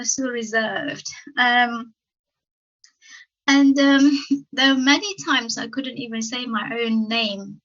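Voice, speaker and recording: A woman's voice: a little high, pushed and bright. A woman in her fifties from Malaysia with a Malaysian accent, whose first language is Tamil, giving a personal conference talk with many ums and stuttering blocks. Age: 50s. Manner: frequent ums, slow careful phrasing with stuttering blocks. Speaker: conference speaker. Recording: dull lo-fi sound.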